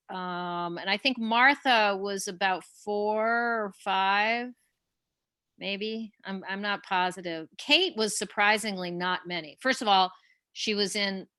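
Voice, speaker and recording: Woman playwright, crisp bright tone, dry close sound, eager and encouraging, restarts a lot. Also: mid-range voice, no room sound. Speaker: playwright leading a post-show discussion. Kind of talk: casual online talkback.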